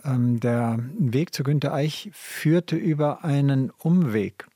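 A man speaking as a literary scholar in an interview, deliberate and emphatic, repeating phrases for weight. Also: medium pitch, mellow, no room sound, clean studio sound. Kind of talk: spontaneous interview answers.

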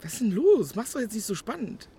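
A woman's voice: on the high side, very animated, fairly quick, mellow, slight background noise. An actress playing a pushy, determined village woman in a radio play, urgent and probing.

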